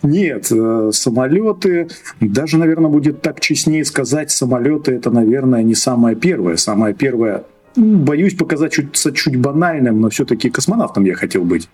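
A man's voice: mid-range voice, animated, normal speed, a little mellow, faint music behind. A man in his thirties from Russia, a podcast guest telling his story in a hesitant way, restarting phrases and laughing often. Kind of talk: casual podcast chat.

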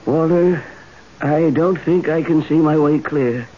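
A stern company boss with a rather high male voice, played by a radio actor. He is curt and formal. The old radio drama sounds dull, with some hiss. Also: measured pace, warm tone, natural voice. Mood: stern, reluctant. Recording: hissy, muffled old radio broadcast.

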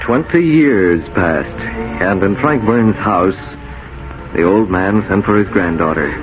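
A man's voice: sits low, lively intonation, slow pace, phone-quality sound, music in the background. A man narrating as a 1940s radio announcer, dramatic and declamatory. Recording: noisy 1940s radio recording.

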